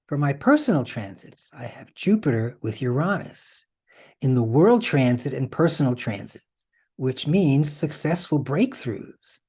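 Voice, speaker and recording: A man presents an informal explainer in a conversational way, often tossing questions to the listener. His pitch moves in a lively, animated range. The tone is mellow and relaxed, with a husky edge. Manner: conversational, checks in with rhetorical questions. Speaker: host and presenter.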